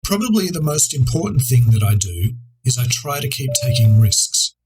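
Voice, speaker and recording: A man presenting an educational video as a writer, reading scripted instructional narration in a measured, articulate way. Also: medium pitch, big pitch swings, darkish tone, slight background noise, poor audio.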